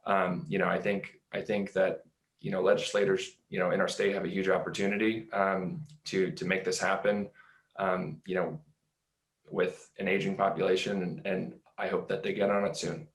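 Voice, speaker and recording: An echoey video-call recording of a man with a deep voice and little melodic movement. He speaks as a panelist, earnest and halting, working out his thoughts as he goes. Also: little pitch movement. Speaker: panelist, startup educator. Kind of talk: unscripted panel discussion.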